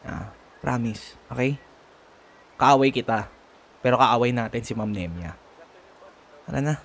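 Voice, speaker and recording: A man's voice: medium pitch, measured pace, slight background noise, natural voice. A young man with a Filipino accent acting a cocky, grudging student rival in an ASMR roleplay, teasing and sarcastic in casual banter. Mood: grudging, bickering. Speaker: voice actor.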